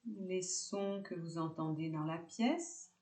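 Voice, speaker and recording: A woman teaching yoga nidra, guiding a relaxation meditation calmly in short, separated phrases. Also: on the low side, takes it slowly, roomy sound.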